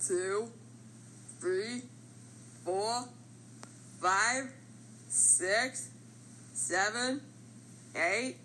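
Confident cartoon triangle played by a woman: unhurried, bright voice, deliberate and playful, some background noise. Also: medium pitch, full character voice, poor audio. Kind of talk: animated character voice lines.

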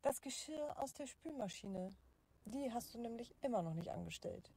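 A woman's voice: on the high side, expressive, normal speed, creaky, dark tone, slight background noise, low-quality recording. An actress playing a wry, teasing wife and mother in a children's audio drama.

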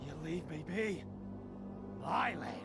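A man playing an unhinged, menacing backwoods cannibal trapper in a video game, muttering gravelly, halting, clipped phrases. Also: a little high, lively intonation, over loud music, full character voice. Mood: eerie, possessive. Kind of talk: video game character acting.

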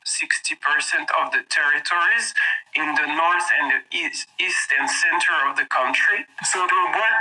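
Bright-voiced man on a remote call. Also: a little high, low-quality recording. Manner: halting, many fillers and restarts. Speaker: senior investigator, guest. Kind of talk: interview answers.

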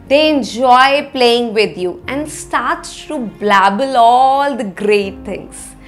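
A woman in her thirties with an Indian accent presents an explainer lesson to camera, phrasing things deliberately and with emphasis. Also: on the high side, a bit bright. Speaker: parenting educator, presenter. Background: background music.

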